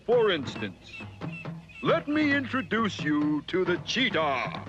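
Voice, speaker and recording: Cartoon lion monarch: pompous, high-pitched, very animated, proclaiming. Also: male voice, slow, highs cut off, music in the background, full character voice. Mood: proud, ceremonious. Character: proud lion king of the jungle, male, grandly ceremonious. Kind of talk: animated children's story acting.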